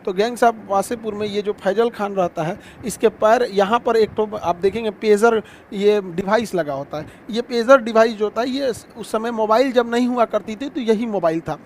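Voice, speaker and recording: A man's voice: high voice, normal speed, a little hiss or hum. A man with an Indian accent giving an informal explanatory lecture as a teacher, conversational, repeating key phrases and posing rhetorical questions. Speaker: teacher explaining current affairs.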